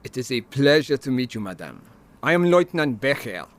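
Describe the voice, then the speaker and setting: An actor plays a wartime lieutenant in a radio play. His voice sits at a middle pitch. He speaks with stiff courtesy and official formality. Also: big pitch swings, brisk, natural voice. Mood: courteous, official. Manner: formal, polite. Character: formal, courteous German army lieutenant of the 1940s. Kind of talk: audio drama acting.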